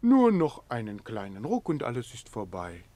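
A man's voice: mid-range voice, wildly swooping pitch, normal speed, warm tone, no echo, soft background music. An actor in a radio play playing a meek, tender-hearted man who coos silly rhyming baby talk to a lame lion. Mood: soothing, coaxing. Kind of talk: theatrical radio play acting.

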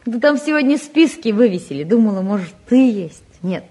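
Soft, breathy, shy young woman. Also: a little high, lively intonation, fairly quick. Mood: tender, hesitant. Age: young adult. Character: shy, tender young woman, quietly in love. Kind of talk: mid-century Soviet radio drama acting.

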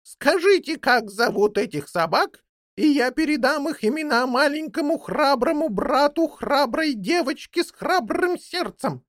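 High-pitched, dramatic old jackdaw played by a woman. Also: lively intonation, dry recording, clean studio sound. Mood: earnest, excited. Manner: theatrical, emphatic, drawn-out delivery. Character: old female jackdaw, motherly, dramatic, fond but bossy. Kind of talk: children's fairy-tale storytelling.

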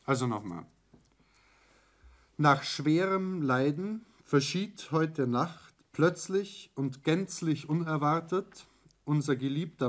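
An actor playing a brusque, businesslike elder son in a radio play, curt and commanding. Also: male voice, mid-range voice. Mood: brusque, impatient. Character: brusque, businesslike, domineering elder son taking over family firm. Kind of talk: 1960s German radio play acting.

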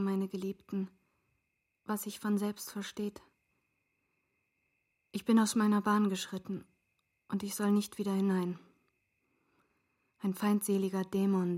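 An actress playing an earnest, resolute young woman in a literary radio play, speaking in a measured, solemn, formal way. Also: medium pitch, darkish tone, lightly acted.

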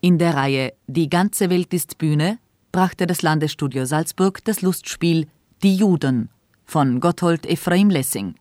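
A woman radio announcer reading the credits of a radio play in a measured, formal way. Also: deep voice, darkish tone, no echo, clean studio sound.

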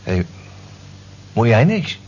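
An actor playing a plain, gruff, homely man in a radio drama, talking in a bluff, colloquial way. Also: medium pitch, very animated, slow pace, darkish tone, slight background noise. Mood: gruff concern. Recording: muffled old radio recording with music behind.

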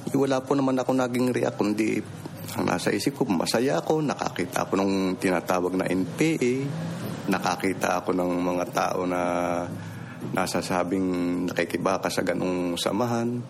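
A man's voice: low-pitched, steady tone, moderate pace, creaky. A man in his fifties with a Filipino accent, giving a plain-spoken, conversational personal testimony and repeating phrases for emphasis. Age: 50s. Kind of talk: personal testimony, informal storytelling. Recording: noisy field recording with ambient sound.

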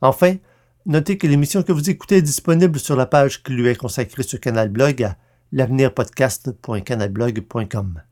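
Formal male podcast narrator, medium pitch. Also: moderate pace, mellow. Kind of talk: scripted narration and announcements.

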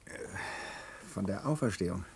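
A man playing a smug, wily intelligence chief in a 1960s German radio drama, speaking with dry amusement and deliberate hesitations. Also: deep voice, expressive, gravelly, darkish tone, a little hiss or hum. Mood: deferential, amused. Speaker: actor in a radio play.

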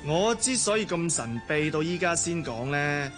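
A man acts as a young Hong Kong Cantonese fiancé in a radio drama. He is a devoted, confident sweet-talker with a fairly high male voice, set over clear background music. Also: lively intonation, normal speed, natural voice. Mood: proud, playful.

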